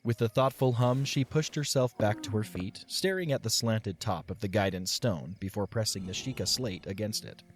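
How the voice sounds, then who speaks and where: A man narrating an audio drama in a measured, expressive storybook style. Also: lowish voice.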